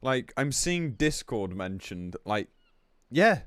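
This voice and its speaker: A man's voice: mid-range voice, very animated, slow, smooth, dry recording, studio quality. A man live-streaming in a casual, blunt, exasperated way, commenting between bits of reading aloud and swearing freely.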